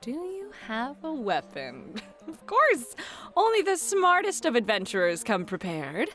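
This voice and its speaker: High-voiced, animated woman as fantasy game master. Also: a little slow, soft background music. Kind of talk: playful second-person fantasy narration, ASMR roleplay.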